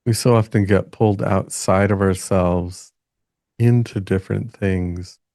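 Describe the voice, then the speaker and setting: Slow, low-voiced man guiding meditation. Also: fairly even intonation, dry recording, studio quality. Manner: gentle, halting delivery with long pauses. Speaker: meditation guide. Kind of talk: gentle guided meditation.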